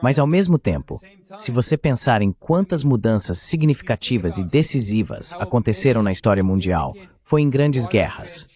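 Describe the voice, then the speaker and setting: A man's voice: medium pitch, sing-song, normal speed, dark tone. A man speaking as an analyst in a dubbed political interview, making his points in an emphatic, argumentative way. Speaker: guest geopolitical analyst. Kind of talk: political commentary, dubbed voice-over.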